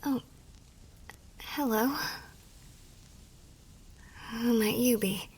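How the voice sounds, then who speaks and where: Woman acting a soft, high-voiced wartime nurse sweetheart. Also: steady tone, slow, heavily acted, low-quality recording. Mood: cautious, questioning. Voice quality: soft, breathy, often dropping to a whisper. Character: tender, wistful young woman, wartime army nurse. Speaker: voice actor in a romance audio roleplay. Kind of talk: ASMR romance roleplay acting. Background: music.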